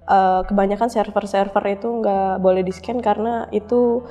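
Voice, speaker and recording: A woman's voice: mid-range voice, fairly even intonation, moderate pace, warm tone. A young woman answering casually as an interview guest, explaining informally and repeating phrases for emphasis. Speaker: interview guest, cyber security analyst. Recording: reverberant room, faint music.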